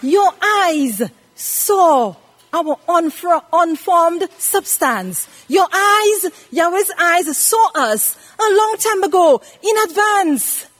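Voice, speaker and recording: High-pitched, slow-preaching elderly woman. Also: lively intonation, fairly bright tone. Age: 80s. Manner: emphatic, repeats phrases, puts questions to listeners. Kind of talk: sermon teaching from scripture.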